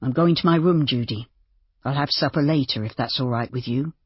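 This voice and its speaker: A narrator plays a self-assured male landowner in an audiobook. He is casual, cool and faintly mocking, with a husky tone. The sound is very dry and a bit dull. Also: mid-range voice, no echo, close to an everyday voice. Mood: smug, assured. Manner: casual, deliberate, unruffled. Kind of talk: audiobook character dialogue.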